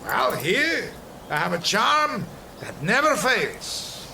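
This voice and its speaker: An actor playing a sly, ingratiating travelling charm peddler with florid, deferential patter in an audio drama. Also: male voice, sits high, wildly swooping pitch, slow pace, vocal fry, pushed and bright, slight background noise, heavily acted. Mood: salesman's patter. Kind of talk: theatrical audio drama acting.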